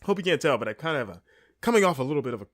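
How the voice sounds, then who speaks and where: A man's voice: a little high, big pitch swings, racing, a bit bright. Congested man recapping wrestling casually. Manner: rambling, wry and sarcastic. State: congested, with sniffles. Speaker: podcast host.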